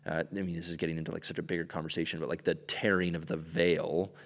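A man who grew up in Ecuador, a pastor talking casually on a podcast, relaxed and joking with lots of filler words. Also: low-pitched, quick pace, in a large hall.

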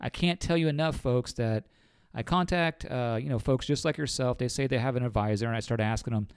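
A man's voice: mid-range voice, fairly quick, clear, resonant tone, no room sound, clean studio sound. A man in his forties with a cold, a financial advisor explaining retirement savings on a podcast in a folksy way, often calling listeners folks. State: has a cold.

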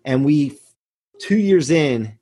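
A man's voice: a little high, animated, takes it slowly, warm tone, slightly rough. A man in his forties talking emphatically and earnestly as a self-assured podcast guest, telling motivational stories from business. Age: 40s. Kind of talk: motivational interview talk, anecdotes.

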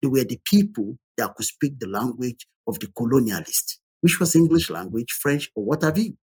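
A middle-aged man with an African accent talks in a husky, rough-edged voice. He argues his points emphatically in conversation, repeating phrases to drive them home. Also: medium pitch, very animated, normal speed. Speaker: panel discussion participant. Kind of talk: opinionated conversational discussion.

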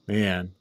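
Blunt male podcast host, close dry sound. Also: dry recording. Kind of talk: casual film review discussion.

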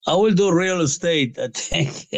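A man in his late sixties calling in, speaking hesitantly with many fillers, grateful and warm. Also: on the high side, unhurried, creaky, no echo. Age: late 60s. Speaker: caller. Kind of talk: casual chat.

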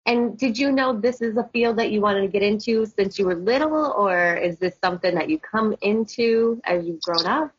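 A woman's voice: medium pitch, normal speed, few pauses, slightly rough, no room sound, highs cut off. A woman hosting her own podcast, upbeat and chatty, promoting her work and interviewing a guest. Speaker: podcast host. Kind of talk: casual interview and self-promotion.

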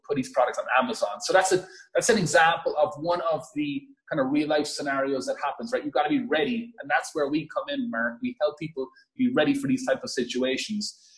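An Irish man speaks as a podcast guest, pitching his product with eager energy. His speech is quick and casual, with frequent restarts and filler words as the ideas tumble out. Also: fairly bright tone, low-quality recording. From Ireland. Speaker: podcast guest, app founder. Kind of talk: casual interview talk.

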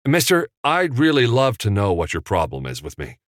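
A man's voice: fairly low pitch, huge pitch swings, moderate pace, no room sound, studio quality, pitched above the performer's own voice. A man voicing a polite, plucky young job seeker in an audiobook, deferential yet gutsy. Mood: politely defiant.

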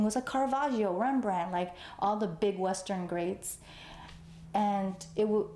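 A woman's voice: medium pitch, warm tone, echoey room. A woman artist in an interview, talking casually with many fillers and easy laughs. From United States, Los Angeles. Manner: chatty, lots of fillers, laughs easily. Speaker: artist guest. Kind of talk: conversational interview.

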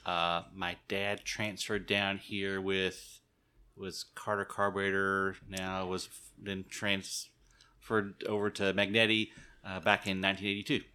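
Easygoing guy in his 40s on a podcast, lowish voice but bright and forceful. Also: completely flat delivery, a little slow, clean tone. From St. Louis. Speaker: guest. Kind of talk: casual podcast chat.

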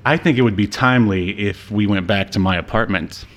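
Low-pitched man hosting a podcast. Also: fairly quick. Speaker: podcast host. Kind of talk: casual chat about an old superhero movie.

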